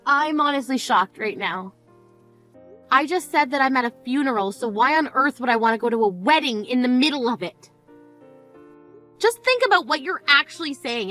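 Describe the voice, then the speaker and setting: A high-voiced, blunt sister in mourning, played by a woman, scolding her sibling with cutting disbelief. Her tone stays sharp and exasperated, over faint background music. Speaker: sister at a funeral. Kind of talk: scripted dramatic dialogue.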